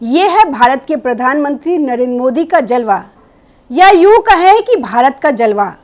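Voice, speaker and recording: A woman's voice: high voice, lively intonation, measured pace, darkish tone. A woman news presenter reading scripted, opinionated political commentary with emphatic rhetorical phrasing.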